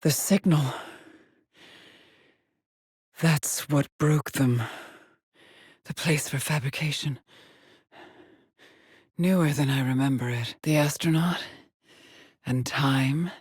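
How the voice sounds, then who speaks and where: An actress voicing a weary, driven middle-aged woman exploring space in a video game, with a husky, clipped and solemn delivery. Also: sits low, takes it slowly, pauses often, a little mellow, dry recording, studio quality, full character voice. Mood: haunted, brooding. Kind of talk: dramatic game voice lines, terse log entries.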